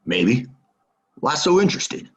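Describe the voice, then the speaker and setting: An actor playing a menacing, distrustful gunslinger stranger in a Western audio play, talking in a terse, probing way. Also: male voice, mid-range voice, big pitch swings, measured pace.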